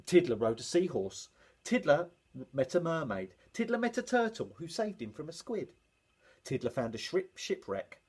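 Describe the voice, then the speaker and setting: A man reading a children's bedtime story in an animated storytelling manner. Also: on the high side, moderate pace, husky.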